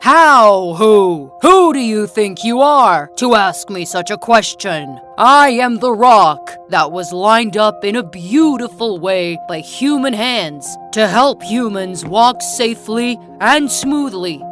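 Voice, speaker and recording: A woman voicing a proud, boastful male rock in a storybook tale, speaking deep, slow and lecturing. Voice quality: deep, booming. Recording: soft children's music behind.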